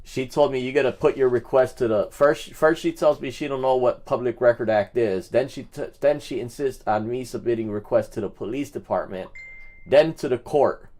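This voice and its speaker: A man's voice: mid-range voice, normal speed, a little mellow. A man hosting a casual livestream and talking on the phone, speaking bluntly and colloquially and often repeating his phrases. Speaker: livestream host making records-request phone calls.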